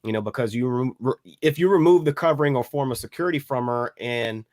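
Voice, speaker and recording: American man, average pitch, dry recording, halting, repeats himself, casual podcast discussion. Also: a little mellow, dry recording. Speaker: podcast panelist.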